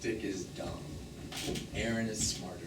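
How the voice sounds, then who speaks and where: A man's voice: on the low side, fairly even intonation, measured pace, lightly acted. A man acting a defensive, jealous lover in stage drama dialogue, terse, blunt and emotionally volatile. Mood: guarded. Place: theatre stage, recorded from the audience distance. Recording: echoey, distant, noisy live theatre recording.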